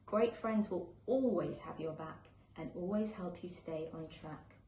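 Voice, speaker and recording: A woman's voice: on the low side, measured pace, mellow, distant mic, pitched below the performer's own voice, close to an everyday voice. A woman voicing a caring, loyal young friend in a children's storybook, earnest and reassuring in rhyme. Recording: echoey room with faint background noise.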